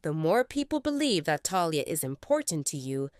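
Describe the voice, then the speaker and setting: Woman playing a smooth-talking wolf alpha guy, measured, persuasive, dry close mic. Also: deep voice, sing-song, a little mellow, no room sound. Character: calm, persuasive male alpha of a rival pack.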